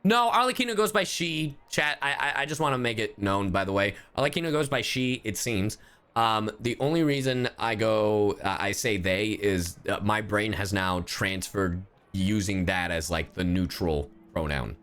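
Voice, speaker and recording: A man livestreaming a game with casual commentary, rambling in an animated way and swearing freely. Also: fairly low pitch, moderate pace, clear, resonant tone.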